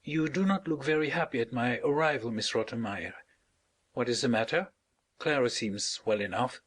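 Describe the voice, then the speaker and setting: A woman voicing a genial, wealthy middle-aged father who speaks calmly in an audiobook. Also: extremely low pitch, moderate pace, breathy edge, pitched below the performer's own voice. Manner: calm, affable.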